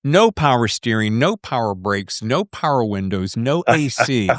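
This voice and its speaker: Animated, folksy man hosting a podcast. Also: medium pitch, unhurried, dry recording, clean studio sound. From US South. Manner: animated, rambling, folksy slang. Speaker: podcast host. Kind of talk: casual interview chat.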